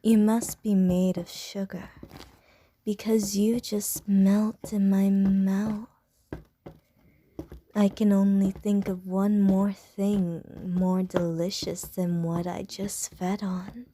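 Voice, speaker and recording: Short, teasing female vampire voiced by a woman for ASMR roleplay: unhurried half-whispered delivery, mellow tone, innuendo-heavy flirting. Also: mid-range voice, level tone, slight background noise, natural voice. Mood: flirty whisper.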